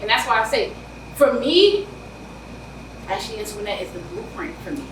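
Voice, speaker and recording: Animated New York woman, roomy distant sound. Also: fairly high pitch. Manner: animated, loud, quick bursts with laughter. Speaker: author guest. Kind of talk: casual interview chat. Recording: roomy, distant mic, faint hiss.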